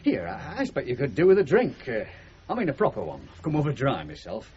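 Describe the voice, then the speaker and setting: An actor playing a blunt, sentimental middle-aged working-class husband with a Northern English accent in a radio comedy drama. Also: male voice, mid-range voice, huge pitch swings, fast, darkish tone. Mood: awkward. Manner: blunt, emphatic, plain-spoken. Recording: muffled 1970s radio drama recording, noisy.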